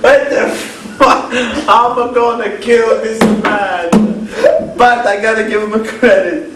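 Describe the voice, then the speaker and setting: A man voicing an excitable Italian man with an exaggerated accent, lecturing in halting broken English with repetition and swearing. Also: high-pitched. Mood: exasperated. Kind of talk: improvised comic banter. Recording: echoey room, distant mic, faint noise.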